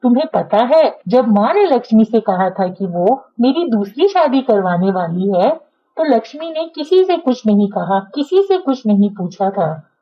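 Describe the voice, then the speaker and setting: A woman acts out a male crow, a husband in a cartoon tale. He speaks in a fairly high voice, earnest and firm as he reasons. The audio is a bit dull. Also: sing-song, unhurried, slight background noise. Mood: firm, protective.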